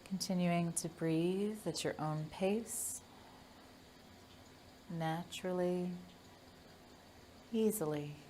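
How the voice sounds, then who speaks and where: Woman doing guided meditation, real slow n soothing, lowish voice, long pauses. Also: mellow, a little hiss or hum. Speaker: hypnotherapist leading a guided meditation.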